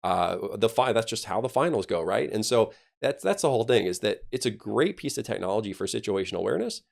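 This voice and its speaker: A man's voice: fairly low pitch, animated, fast talker, vocal fry. A man talking as an air traffic controller guest on a podcast, explaining things conversationally and with emphasis.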